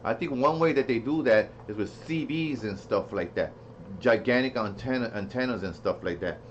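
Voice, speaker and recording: A man's voice: mid-range voice, moderate pace. A man giving a casual, unscripted product demo, rambling as he thinks aloud and repeats himself. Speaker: product reviewer. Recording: dull lo-fi sound with faint noise.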